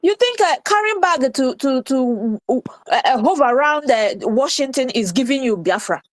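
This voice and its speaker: A woman taking part in an online audio room, halting and incredulous as she questions a speaker. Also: sits high, lively intonation, no room sound.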